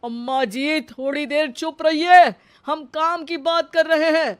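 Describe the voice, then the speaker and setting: A woman's voice: high voice, steady tone, takes it slowly, pitched above the performer's own voice. A woman voicing an exasperated but polite male office boss in a comic cartoon story, instructing the people around him.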